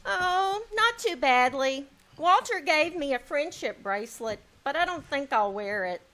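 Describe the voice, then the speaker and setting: Woman playing a very high, chirpy teenage girl. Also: animated, unhurried, pushed and bright, heavily acted. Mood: chatty. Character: chirpy, guileless teenage schoolgirl. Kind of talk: old-time radio comedy acting before a live audience. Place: live stage in a small-town theater.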